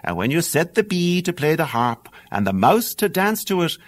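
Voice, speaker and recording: A man's voice: a little high, big pitch swings, moderate pace. A man with an Irish accent voicing a sly little magical man in a read-aloud fairy tale, coaxing and bargaining.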